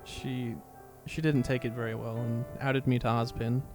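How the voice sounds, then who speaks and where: A man's voice: mid-range voice, flat delivery, moderate pace, over music, natural voice. A man playing a downcast young ex-student turned mobster, confessing his past in a dramatic fan fiction reading. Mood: rueful, confessional.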